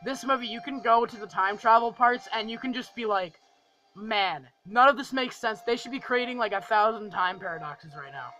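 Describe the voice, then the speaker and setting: A man's voice: high-pitched, normal speed, bright, forceful tone, soft background music, poor audio. A young man giving a casual, enthusiastic video review, gushing and rambling and repeating phrases for emphasis. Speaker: film review vlogger.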